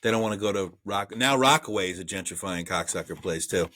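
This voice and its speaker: Blunt Brooklyn man, bright voice. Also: deep voice, fast talker. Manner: blunt and profane, reads trivia aloud. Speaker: podcast co-host. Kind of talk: casual movie review chat.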